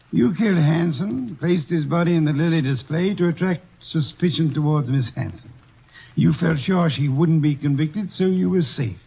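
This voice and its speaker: An actor playing a portly, unflappable older detective who speaks in a measured, pompous way, in 1940s radio acting. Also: male voice, a little high, creaky, breathy edge, full character voice. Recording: dull old radio broadcast recording.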